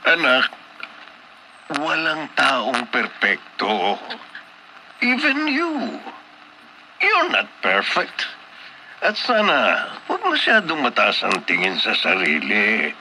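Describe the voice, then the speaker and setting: Stern father, slow and forceful. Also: medium pitch, huge pitch swings, a little hiss or hum, heavily acted, low-quality recording. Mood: stern, admonishing. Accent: Filipino. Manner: admonishing, measured, moralizing. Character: stern, wise father of a spoiled grown daughter. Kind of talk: Philippine radio drama acting.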